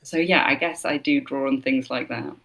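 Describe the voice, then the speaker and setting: A woman's voice: a bass voice, moderate pace, fairly bright tone. A woman from Scotland with no Scottish accent, a voice actor chatting thoughtfully in an interview, with many fillers. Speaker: voice actor, guest. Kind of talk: casual interview chat.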